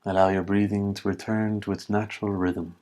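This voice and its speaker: Low-voiced man guiding meditation, very even tone. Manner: calm, measured instructions in short, spaced phrases. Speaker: meditation instructor. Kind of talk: guided body scan meditation.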